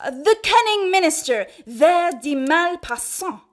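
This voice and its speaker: An actress playing a scheming, deferential maidservant who speaks in flowery, coy period language in a comic radio play. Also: female voice, extremely high pitch, lively intonation. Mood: sly, coaxing. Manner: deliberate, ornate, coyly deferential. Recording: studio radio play with music.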